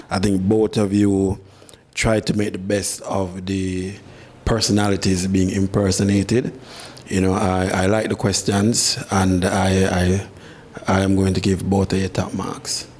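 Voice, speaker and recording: A man judging contestants in a measured, halting way. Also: low voice, a little mellow. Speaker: judge.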